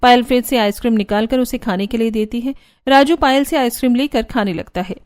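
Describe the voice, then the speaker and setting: A woman's voice: fairly high pitch, fairly quick, a little mellow, no echo, clean studio sound. A woman narrator reading a scripted family cartoon story.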